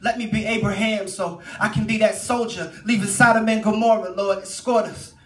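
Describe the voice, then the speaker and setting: A man's voice: sits high, fairly even intonation, moderate pace. A man delivering earnest, prayer-like spoken word in short declaimed phrases at a church service. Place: church hall during a live service. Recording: echoey live church recording.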